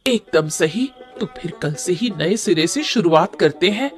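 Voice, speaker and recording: A woman's voice: fairly low pitch, expressive, a little slow. A woman playing a helpful, determined village cook in a Hindi cartoon story, earnest and encouraging. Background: background music.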